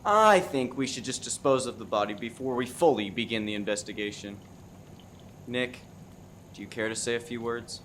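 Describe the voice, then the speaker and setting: A mid-pitched, self-important amateur sleuth played by a man in a farcical radio play. He is measured and mock-serious, with faint noise behind him. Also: fairly bright tone, lightly acted. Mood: matter-of-fact. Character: hapless, self-important amateur murder sleuth named Bill. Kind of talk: farce radio play acting.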